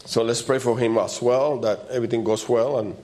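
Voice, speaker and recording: A man with a loud voice and preaches in an informal, conversational way, repeating short phrases for emphasis. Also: medium pitch, steady tone, brisk. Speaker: preacher leading a church service.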